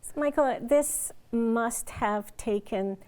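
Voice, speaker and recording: A woman's voice: fairly high pitch, slow, a little mellow, clear, resonant tone. A woman hosting a community interview in a polite, conversational manner. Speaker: interview host.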